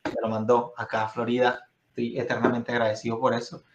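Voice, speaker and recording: A man with a Venezuelan accent hosts a friendly podcast conversation. He wanders through his thoughts with false starts and fillers. His voice sits at an ordinary pitch and carries the ring of an echoey room. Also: completely flat delivery, quick pace, a little hiss or hum. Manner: rambling, many restarts and fillers. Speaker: podcast host. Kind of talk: casual interview chat. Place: Florida.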